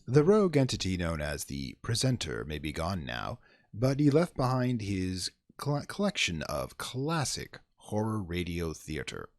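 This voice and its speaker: A man's voice: sits low, wildly swooping pitch, unhurried, dry recording, clean studio sound. A man hosting a horror radio show, reading a scripted introduction in a theatrical, showman-like manner. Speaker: host.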